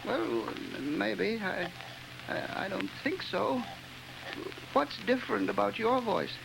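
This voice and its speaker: A man's voice: high-pitched, very animated, takes it slowly, vocal fry, noisy background, heavily acted. An actor playing an unhinged, giggling male killer who stammers and talks in crude grammar, in a 1930s radio drama. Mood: uneasy.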